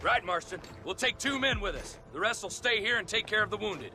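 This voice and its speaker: An actor playing a brisk, disgruntled army captain in a Western video game, blunt and commanding. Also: male voice, high voice, animated, background noise. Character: brisk, disgruntled army captain barking orders, adult man. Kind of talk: video game cutscene acting.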